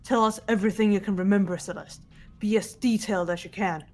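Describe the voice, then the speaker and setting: Forceful student character, played by a woman; loud, insistent, concerned questioning in a game drama, light music behind. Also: medium pitch, fairly even intonation, quick pace, lightly acted. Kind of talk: dramatic video game voice acting.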